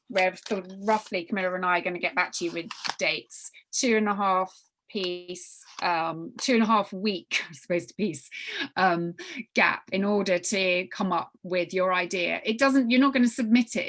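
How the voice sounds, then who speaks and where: A woman with a mid-pitched voice leads an informal group briefing. She talks in a halting way, restarting and repeating phrases to press her point. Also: steady tone, a bit bright. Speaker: writing workshop leader. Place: online group video call.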